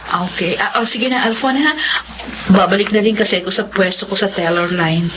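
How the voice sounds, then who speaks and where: An actress playing a gentle, earnest young woman who works as a bank teller in a radio drama. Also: mid-range voice, brisk, highs cut off, noisy background, natural voice.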